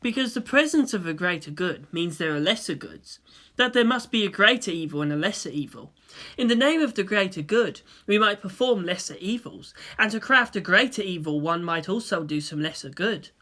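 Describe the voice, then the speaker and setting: A woman voicing an earnest, thoughtful young male pilot in a story, calm, serious and polite. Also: on the low side, normal speed. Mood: earnest, grave.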